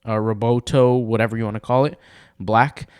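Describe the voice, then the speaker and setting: A man talks through a screen-recorded website tutorial in a casual, meandering way, full of restarts and fillers. His voice is a touch raspy and sits at an ordinary middle pitch. The recording is dry and close, with no room sound. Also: fairly even intonation, normal speed, darkish tone, no room sound. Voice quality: slightly raspy. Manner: rambling, lots of fillers and restarts. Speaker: blogging tutor.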